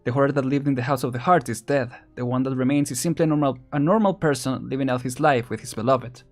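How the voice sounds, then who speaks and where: A man's voice: mid-range voice, steady tone, quick pace. A man giving casual, rambling, chuckle-prone live commentary as he streams a video game. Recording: game music behind.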